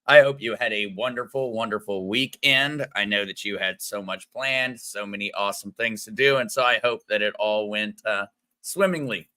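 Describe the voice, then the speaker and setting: A man hosting a casual livestream, greeting viewers by name and chatting in a friendly, rambling way with a smooth, radio-like voice. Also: on the low side, moderate pace, sharp tone. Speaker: crypto livestream host. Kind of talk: casual livestream chat reading viewer comments.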